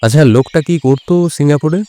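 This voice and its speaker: A man's voice: a little high, brisk, warm tone, a little hiss or hum, close to an everyday voice. A man playing a composed, probing detective in an audio drama.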